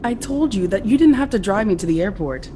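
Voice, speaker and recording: A woman's voice: mid-range voice, lively intonation, brisk, warm tone, a little hiss or hum, close to an everyday voice. An actress in an audio drama playing a hurt, guarded younger woman who is insistent with her lover. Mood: hurt, distrustful. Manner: indignant, insistent, emotionally guarded. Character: hurt, demanding younger woman in love with brother's best friend. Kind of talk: contemporary audio drama acting. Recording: music under the voice.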